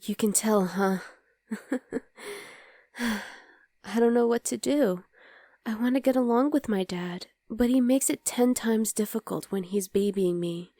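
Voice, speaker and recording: A woman playing a young demon girlfriend in an ASMR roleplay, sweet but exasperated and rebellious, speaking intimately and softly to the listener as her partner. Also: fairly high pitch, a little slow, long pauses, no room sound. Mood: wistful, confiding. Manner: intimate, soft, often dropping to a whisper.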